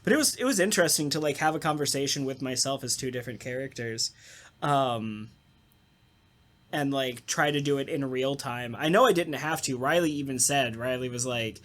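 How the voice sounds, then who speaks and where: A man with a mid-pitched voice talks casually as part of a podcast panel. He rambles along in a jokey, self-deprecating way, like an actor discussing his own work. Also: normal speed, fairly bright tone. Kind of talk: casual chat about radio plays.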